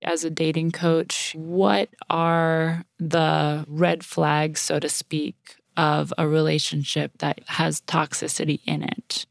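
A woman's voice: deep voice, little pitch movement, slow, gravelly, few pauses, dry recording, clean studio sound. A woman co-hosting a podcast interview, putting questions to a guest in a casual, conversational style.

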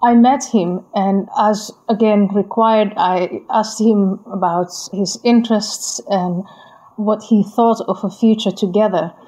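A woman, a guest answering interview questions in a calm, deliberate way. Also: mid-range voice, rough recording. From Somalia.

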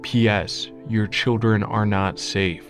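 A man playing a menacing sniper, reading out a demand message in a deliberate, commanding way. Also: lowish voice, fairly even intonation, faint music behind. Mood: cold, threatening. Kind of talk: dramatic reading of a transcribed message.

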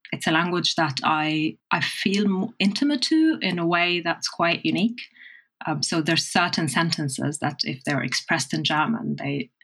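A woman's voice: deep voice, fairly bright tone, slightly rough, low-quality recording. A woman from Egypt who works as a translator, speaking thoughtfully and repeating words as she searches for them. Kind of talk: reflective interview talk.

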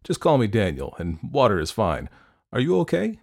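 Man as charming young guy, relaxed, teasing, confident, storybook romance. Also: lowish voice, normal speed, vocal fry, exaggerated voice. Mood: amused, friendly.

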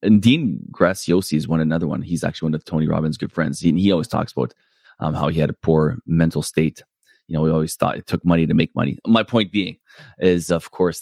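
Casual podcast host, male, very deep voice, chatty and self-deprecating, dry close sound. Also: gravelly, darkish tone, no room sound. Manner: rambling, self-deprecating, many fillers and restarts. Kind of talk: casual solo podcast talk.

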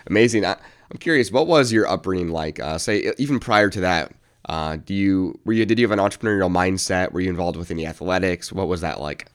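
Low voiced guy hosting a podcast, reads his intro then asks questions, lots of mm and yeah. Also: fast talker. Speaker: podcast host, interviewer. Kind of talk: podcast interview.

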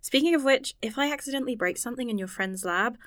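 A woman's voice: fairly high pitch, fast, a bit bright, no room sound, clean studio sound, natural voice. A woman acting in an audio drama as an earnest, anxious scientist who rambles self-consciously. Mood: dry, self-deprecating.

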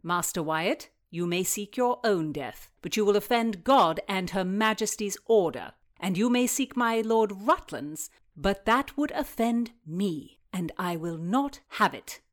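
A woman's voice: medium pitch, expressive, measured pace, hardly any pauses, natural voice. Noblewoman, voiced by a woman narrator for period audiobook, commanding, dry wit, formal delivery. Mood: scolding. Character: resolute, sharp-witted noblewoman and peacemaker. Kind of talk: dramatized historical fiction reading.

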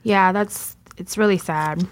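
Woman, middle-aged, low voice, dry close sound, blunt and sweary. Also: slow, no echo. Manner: frank and profane, with many fillers. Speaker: podcast co-host. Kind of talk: candid casual chat.

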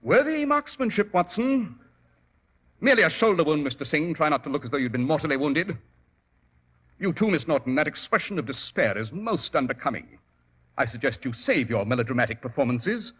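An actor playing a shrewd, commanding detective in 1940s radio drama style, with precise, formal, theatrical delivery. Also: male voice, fairly high pitch, a bit bright, husky, highs cut off, full character voice. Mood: dryly mocking. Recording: vintage 1940s radio recording.

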